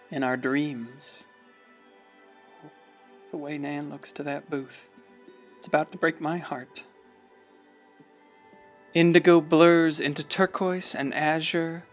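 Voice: medium pitch, natural voice. An actor narrating a radio play as a folksy, wistful small-town storyteller. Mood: wistful, lyrical.

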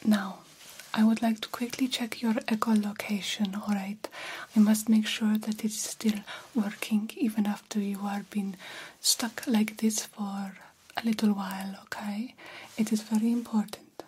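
Ancient vampire doctor, played by a woman: slow, mellow ASMR whisper, formal and fussily reassuring, some hiss behind. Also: medium pitch, level tone, natural voice, poor audio. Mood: gentle, instructing. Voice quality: soft breathy whisper. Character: very old vampire doctor, soothing, self-assured, fussy. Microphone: close microphone.

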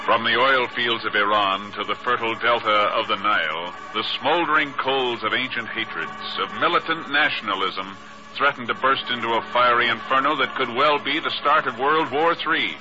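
A man's voice: mid-range voice, moderate pace. A man narrating a 1950s radio drama as announcer, solemn and portentous. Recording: muffled old radio recording, music behind. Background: dramatic music.

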